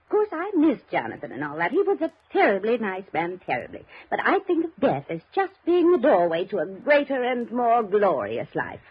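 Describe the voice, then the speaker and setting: Genteel, rambling older widow, sugary and fluttery, high-pitched, dull vintage radio recording. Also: female voice, wildly swooping pitch, moderate pace, few pauses, husky, heavily acted. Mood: chatty, sweet. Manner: fluttery, rambling, folksy politeness. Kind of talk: 1950s radio drama acting.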